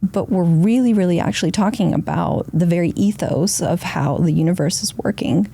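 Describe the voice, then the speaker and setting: A woman's voice: fairly low pitch, moderate pace, creaky, mellow. A woman from Argentina, a podcast guest, talking reflectively in long flowing sentences. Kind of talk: casual podcast conversation.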